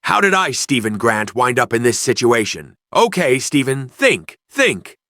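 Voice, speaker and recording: Brief: meek, polite game civilian, male actor, hesitant and nervous, bright dry voice. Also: mid-range voice, big pitch swings, measured pace, no echo, full character voice. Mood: nervous pleading. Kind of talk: video game character voice acting.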